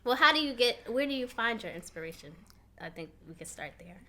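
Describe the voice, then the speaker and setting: A woman's voice: on the high side, animated, a little fast, bright, forceful tone. A woman hosting a casual interview, reading out questions and chiming in a chatty, encouraging way. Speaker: podcast host. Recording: noisy recording.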